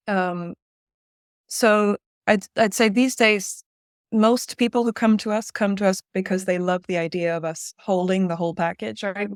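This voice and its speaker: A woman's voice: on the low side, dry recording, clean studio sound. A woman in her forties from Spain, giving conversational answers as an interview guest. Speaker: interview guest, director at a design-build firm.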